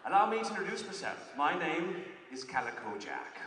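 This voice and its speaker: A man's voice: on the high side, sing-song, normal speed, reverberant, long reverb tail, far from the mic, heavy background noise. A man playing a hammy pirate self-help guru. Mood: swaggering. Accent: put-on pirate. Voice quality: hammy, growling "arr"s and pirate slang. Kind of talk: live stage comedy monologue. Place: large event hall with audience.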